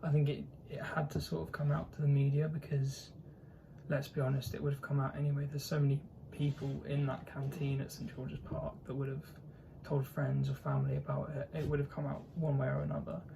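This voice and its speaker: A man's voice: fairly high pitch, monotone, brisk, vocal fry, noisy background. A young man with a posh southern English accent hosting his own football channel, talking casually and hesitantly with lots of fillers and restarts. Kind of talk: casual solo commentary.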